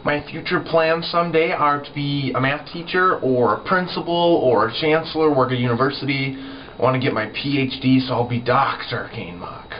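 A man's voice: mid-range voice, normal speed. A young man, a college student, casually introducing himself to a camera in a dorm room. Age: young adult. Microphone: camera mic a few feet away. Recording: dull camera audio, faint noise.

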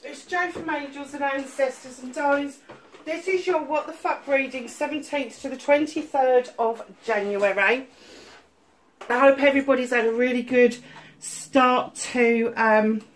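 Middle-aged woman doing a tarot reading at home, bit stuffy, slow and chatty, lots of ums. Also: a little high, steady tone, a little hiss or hum, poor audio. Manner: chatty, warm-hearted asides with many ums. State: slightly stuffy-nosed from illness. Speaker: tarot reader. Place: home room at a table of crystals.

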